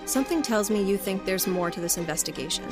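Actress as mysterious female monster hunter, mid pitch, guarded and determined, audio drama, clear music bed. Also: animated, fast, lightly acted. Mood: ominous, determined. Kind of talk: audio drama trailer acting.

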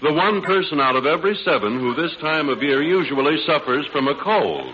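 A man working as a radio announcer, delivering a polished, courteous 1940s advertising pitch. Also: lively intonation, fairly quick, a crowd in the background. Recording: muffled, noisy old 1940s radio broadcast.

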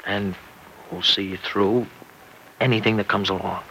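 An actor playing an earnest, anxious young farmer husband in an old-time radio drama, with a halting, tender way of talking. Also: male voice, lowish voice, fairly even intonation, gravelly, bright, forceful tone. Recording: noisy vintage radio recording.

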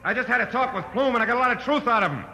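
A man's voice: extremely high pitch, rapid-fire, creaky, sharp tone, full character voice. A man playing a tough, wry American private detective in a 1940s-style radio drama, clipped and wisecracking. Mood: urgent, shouting. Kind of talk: 1940s radio drama acting and noir narration.

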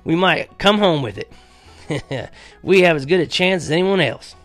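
An old country grandfather from a storybook, acted out by a man, kindly and easily excited. He talks in a folksy, emphatic way, often lifting well above a plain speaking pitch. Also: moderate pace, soft background music. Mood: earnest, eager.

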